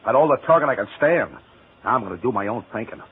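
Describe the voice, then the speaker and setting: A man's voice: medium pitch, expressive, fast, slight background noise, lightly acted. An actor playing a bitter, brooding ex-convict down on his luck, with slangy, clipped tough-guy talk in 1940s radio style. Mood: bitter, defiant. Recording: tinny old-time radio recording.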